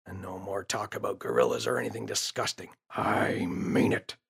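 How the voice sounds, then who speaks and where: A man doing a gruff, impatient dad. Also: low-pitched, animated, moderate pace, fairly bright tone, exaggerated voice. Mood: irritated, scolding. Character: grumpy, dismissive TV-watching father.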